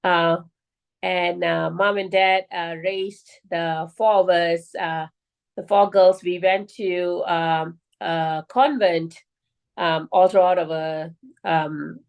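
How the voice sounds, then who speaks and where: Woman, interview guest raised in Malaysia; lowish pitch, earnest, filler-heavy storytelling. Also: steady tone, slow pace. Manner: earnest, many fillers and restarts.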